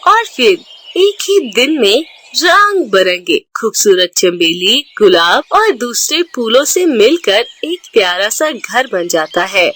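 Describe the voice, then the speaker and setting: Slow woman narrating a children's story. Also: a little high, big pitch swings, a bit bright.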